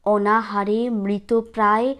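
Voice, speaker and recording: A woman reading a literary story as narrator, with measured, deliberate and dramatic phrasing. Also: mid-range voice, level tone, a bit bright.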